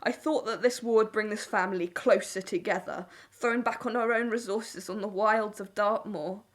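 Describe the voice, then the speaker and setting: A woman's voice: mid-range voice, steady tone, moderate pace, natural voice. A woman playing a bitter, strict farm wife and mother in a wartime audio play. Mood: bitter, hurt.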